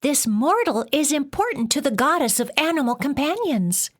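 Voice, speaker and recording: A woman voicing a small talking weasel in a storybook, speaking high and urgently. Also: sing-song, normal speed.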